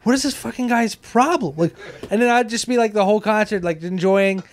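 A man's voice: high-pitched, a little fast. An American man co-hosting a podcast, chatting casually and comedically into a handheld microphone held close. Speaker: podcast co-host. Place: small indoor podcast room with couches.

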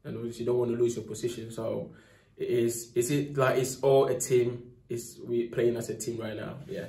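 A young man, a footballer, speaks softly after a match, filling his answers with fillers and "obviously", into a phone held close in a press room. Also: mid-range voice, flat delivery, brisk, vocal fry. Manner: soft-spoken, many fillers, leaning on "obviously". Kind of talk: post-match interview. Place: indoor press room in front of a sponsor board. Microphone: phone held near by the reporter. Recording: echoey room.

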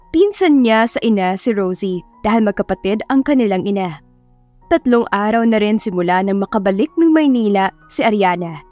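A woman's voice: medium pitch, darkish tone, no room sound. A woman narrating a scripted romance story in a dramatic audiobook style. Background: music.

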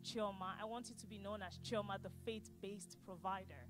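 A young woman from Maryland giving a personal testimony into a handheld mic among a church congregation, her quiet talk rising to loud declaring. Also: mid-range voice, fairly even intonation, moderate pace. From Maryland, United States. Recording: echoey hall with loud music.

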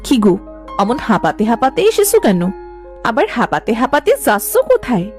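A woman playing a skeptical, sharp-tongued farmer's wife in a cartoon folk tale, pointed and colloquial. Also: on the high side, big pitch swings, brisk, soft background music. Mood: puzzled. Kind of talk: Bengali animated fairy-tale dialogue.

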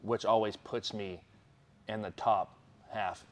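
Male athlete guest, young adult, fairly low voice, eager plainspoken answers. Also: level tone, slow pace. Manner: eager, plainspoken, listing things off. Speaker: strongman competitor, guest. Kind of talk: casual interview answers.

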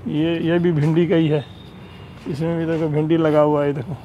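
Guy showing off his terrace plants on his phone, kinda high voice, faint street noise. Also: flat delivery, moderate pace, mellow, highs cut off. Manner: chatty, pointing things out. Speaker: home gardener giving a tour. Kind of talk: casual walkthrough narration. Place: rooftop terrace garden, outdoors. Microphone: handheld phone camera.

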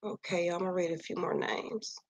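A woman who is losing her voice reads names from a missing persons list on a screen share and thinks aloud in a soft, slow, sighing way. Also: on the low side, fairly even intonation, dry recording. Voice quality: gravelly, slightly husky voice. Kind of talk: casual screen-share commentary. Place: at home near Kansas City.

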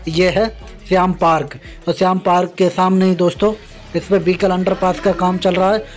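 Male vlogger, high monotone, outdoor site walk, phone camera narration. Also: moderate pace, faint music behind. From India. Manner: repeatedly points things out to viewers, addressing them as friends. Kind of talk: casual narration of a road construction tour. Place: outdoors at a construction site beside a highway.

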